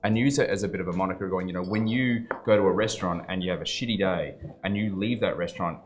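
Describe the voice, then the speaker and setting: A man's voice: low voice, fast talker. A man hosting a casual podcast interview, talking in a rambling, emphatic way with lots of fillers and swearing. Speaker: podcast host.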